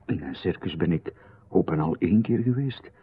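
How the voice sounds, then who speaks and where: A man's voice: low voice, expressive, normal speed, breathy edge, dry recording. A man with a Flemish accent, playing a polite, hesitant playwright in a radio drama who is easily flustered. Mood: polite unease. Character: polite, flustered male playwright, anxious to please. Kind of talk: 1990s radio drama acting. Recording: dry, narrow, phone-like radio broadcast.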